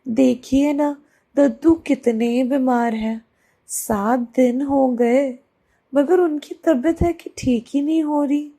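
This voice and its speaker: Woman voicing a high, pleading little cartoon boy. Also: slow pace, dark tone, exaggerated voice. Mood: worried pleading. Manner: insistent, pleading, repeats himself.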